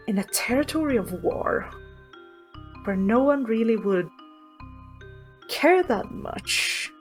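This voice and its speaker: Female tabletop player, medium register, very unhurried, musing through her character's moves casually. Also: medium pitch. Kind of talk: casual improvised role-play, describing her character's actions.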